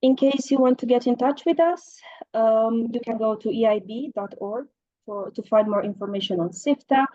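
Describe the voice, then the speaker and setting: A woman's voice: fairly high pitch, moderate pace, dark tone, dry recording. A woman in her forties presenting a program in a webinar, listing details with frequent fillers over a video call. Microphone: video call, laptop mic. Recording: slightly muffled dry video call audio.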